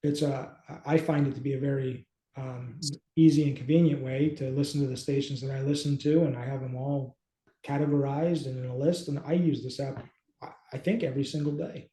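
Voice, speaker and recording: A man in his thirties chatting informally as a co-host, thinking aloud in a halting way on a video call. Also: medium pitch, steady tone, dark tone. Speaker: co-host, app company team member. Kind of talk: casual, informal demonstration chat. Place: small home office indoors. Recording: echoey room on a video call.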